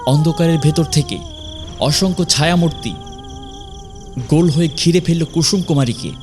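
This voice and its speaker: A man narrating a scripted horror story in a suspenseful, dramatic way with deliberate pauses. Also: on the high side, darkish tone. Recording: music behind the voice.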